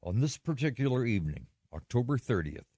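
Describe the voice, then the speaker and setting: An actor playing a solemn, foreboding storyteller in an old-time radio drama, with grave, theatrical, measured phrasing. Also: male voice, medium pitch, very animated, warm tone, no echo, studio quality. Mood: measured, ominous. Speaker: dramatic narrator.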